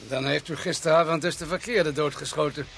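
An actor playing a blunt, matter-of-fact village doctor in a radio drama, with a dry, clinical, outspoken manner. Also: male voice, fairly high pitch, steady tone, a little fast, vocal fry, fairly bright tone, slight background noise. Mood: accusing.

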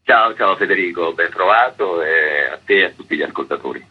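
A man chats as a guest on the radio in a fairly low, husky voice. He is informal and feels his way through sentences, and the sound is a little lo-fi. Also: expressive, brisk. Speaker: actor, interview guest. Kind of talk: casual radio interview. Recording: slightly dull, lo-fi radio sound.

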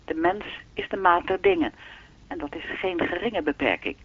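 A man's voice: high voice, normal speed, slightly rough. A man playing an enigmatic, all-knowing keeper of time in a radio play, speaking formally and teasingly through a telephone filter. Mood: formal, unruffled. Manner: formal, lecturing, unruffled, faintly teasing. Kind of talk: radio drama dialogue. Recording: thin, dry telephone-line sound.

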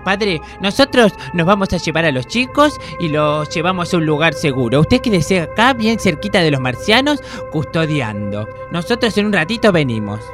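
A man's voice: on the high side, sing-song, moderate pace, hardly any pauses, music in the background. A man with an Argentine accent playing a bossy, impatient, scheming paranormal investigator in a comic radio play. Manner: scolding, emphatic. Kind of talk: comedic amateur radio play acting.

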